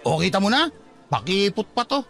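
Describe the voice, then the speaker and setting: An actor playing a persistent, teasing young suitor in a Filipino radio drama, playful and cheeky. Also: male voice, high-pitched, moderate pace, vocal fry, full character voice. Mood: playful grumbling. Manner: teasing, animated.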